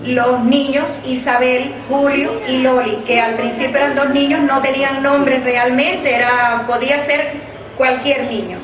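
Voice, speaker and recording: A woman's voice: high-pitched, fairly even intonation, a little slow, music in the background. A woman recounting memories of a radio drama group in an interview style. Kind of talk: interview recollection for a documentary. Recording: roomy, muffled sound, murmur behind.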